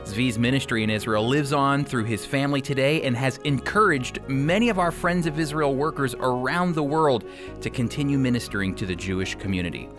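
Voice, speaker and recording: Man hosting radio over music. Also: medium pitch. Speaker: radio host and teacher.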